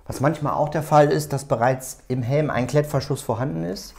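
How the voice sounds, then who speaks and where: A man hosting a hands-on tutorial, explaining step by step in a halting, unscripted way as he works. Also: fairly high pitch, creaky, darkish tone. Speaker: tutorial host. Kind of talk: hands-on tutorial, talking while working.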